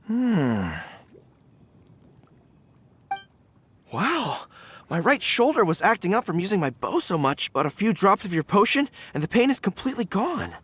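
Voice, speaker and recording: A man's voice: high-pitched, big pitch swings, normal speed, fairly bright tone, husky, exaggerated voice. A man voicing an earnest, polite young dog-eared general in a video game, with a youthful, boyish voice. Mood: impressed. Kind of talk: video game character acting.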